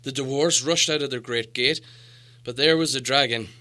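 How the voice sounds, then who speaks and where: A proud old dwarf lord acted out by a male reader tells his people's history like a storybook elder. He is solemn and grandiose, with a very bright, forceful edge to a middle-pitched voice. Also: normal speed, close to an everyday voice. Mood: urgent, dramatic. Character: proud, solemn old dwarf leader recounting lost glory. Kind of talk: audiobook character dialogue.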